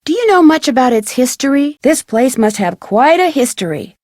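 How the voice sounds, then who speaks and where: A young woman detective in a video game, speaks in a high, very dry studio voice. Her delivery swings melodically, polite and earnest, always sounding inquisitive. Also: very animated, moderate pace, a little mellow, no echo. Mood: curious, polite. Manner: articulate, earnest, questioning.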